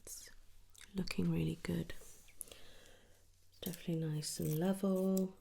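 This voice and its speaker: A British woman doing a gentle, chatty ASMR hairdresser roleplay, speaking softly and reassuringly close to the mic, often slipping into a breathy whisper. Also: fairly low pitch, steady tone, takes it slowly, a little hiss or hum. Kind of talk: ASMR roleplay, one-sided casual chat. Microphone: close ASMR microphone.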